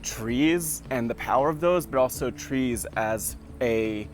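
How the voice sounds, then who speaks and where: A man's voice: medium pitch, takes it slowly, slight background noise. A man hosting an interview outdoors in a park, upbeat, asking long open questions. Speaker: interviewer, show host. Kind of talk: casual interview.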